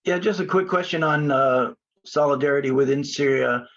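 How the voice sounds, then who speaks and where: A man's voice: a little high, normal speed, fairly bright tone. Guy asking a question on a call, lots of uhs, muffled lo-fi audio. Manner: hesitant, many "uh" fillers. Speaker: audience member asking a question.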